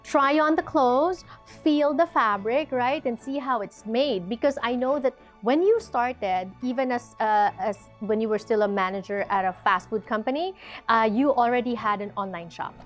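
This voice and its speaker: Filipina host, upbeat, mixes english and tagalog, slightly high voice, faint music. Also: female voice, moderate pace. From Philippines. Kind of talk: interview.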